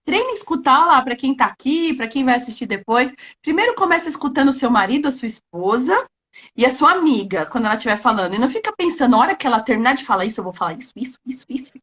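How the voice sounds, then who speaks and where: A woman teacher giving animated advice in quick bursts during a casual live-stream chat over a video call. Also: high-pitched, husky. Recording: dull lo-fi video-call audio.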